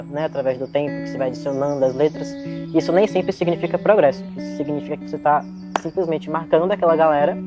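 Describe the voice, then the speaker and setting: A man in his twenties with a Bahian Brazilian accent, giving a reflective, articulate interview answer in a spontaneous way, often adding little tag words. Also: a little high. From Salvador, Bahia, Brazil. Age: 20s. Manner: reflective, articulate, frequent "né" tags. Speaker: interviewee, psychologist and DJ.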